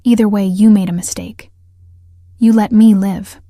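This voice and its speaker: A woman's voice: mid-range voice, steady tone, measured pace, mellow, no echo, clean studio sound, lightly acted. A woman voicing a determined young woman seeking revenge, speaking firmly and sharply. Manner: firm and cutting.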